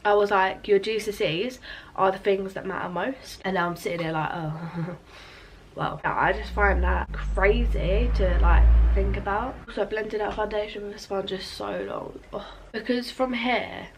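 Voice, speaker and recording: A woman's voice: mid-range voice, fairly even intonation, moderate pace, noisy background. A teenage girl chatting casually while doing her makeup, rambling with lots of "like" and still sounding sleepy from just waking up. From England. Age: teens. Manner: chatty, rambling, filler-heavy with frequent "like". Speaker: beauty vlogger. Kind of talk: casual get-ready-with-me chat.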